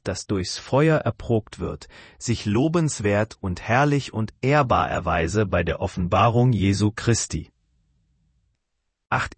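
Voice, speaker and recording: A man preaching a sermon to his congregation, asking searching questions in an earnest, measured way. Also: on the low side, slightly rough, no room sound.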